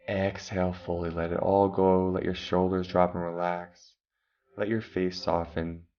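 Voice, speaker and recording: A man calmly guiding a meditation, giving slow breathing instructions with long pauses. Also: sits low, dull audio. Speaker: meditation guide. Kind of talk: guided meditation.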